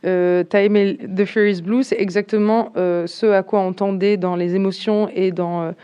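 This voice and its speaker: A woman's voice: medium pitch, steady tone, normal speed, dark tone, clear, resonant tone, muffled recording. A young woman from southern France, a band's singer, answering interview questions shyly and hesitantly as she searches for her words. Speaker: singer of a band. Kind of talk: casual interview.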